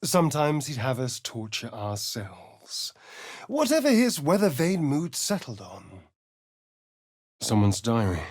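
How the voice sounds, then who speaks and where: A man's voice: mid-range voice, huge pitch swings, slow, dry recording, studio quality, full character voice. An actor playing a suave, sardonic male vampire spawn in a video game, with an arch, drawling, theatrical manner. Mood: wry, dramatic. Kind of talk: video game character voice lines.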